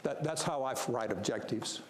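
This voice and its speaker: A man's voice: medium pitch, moderate pace. A man running a public planning meeting, explaining things firmly and repeating words as he goes. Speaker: strategic planning facilitator. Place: city meeting room. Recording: echoey meeting room, faint noise.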